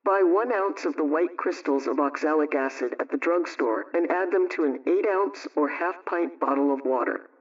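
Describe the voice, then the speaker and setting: A man reading scripted household advice and recipes in a measured, instructional way, like a 1920s radio programme host. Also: fairly high pitch. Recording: dull, distant vintage radio sound.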